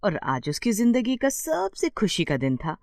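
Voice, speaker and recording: A woman's voice: mid-range voice, sing-song, measured pace, darkish tone, no room sound, clean studio sound. A woman giving scripted storytelling narration as the narrator of a tale.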